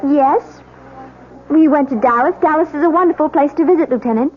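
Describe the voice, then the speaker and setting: An actress playing an anxious, disbelieving newlywed wife in a radio drama, answering in an indignant, challenging manner. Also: female voice, high-pitched, brisk, lightly acted. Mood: defensive. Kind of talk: 1970s radio drama acting. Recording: tinny, noisy old radio drama recording.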